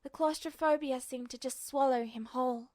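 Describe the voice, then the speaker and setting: A woman's voice: high voice, steady tone, moderate pace, a little mellow. A woman reading a story aloud as a scripted audiobook-style narration. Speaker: fan fiction podfic narrator.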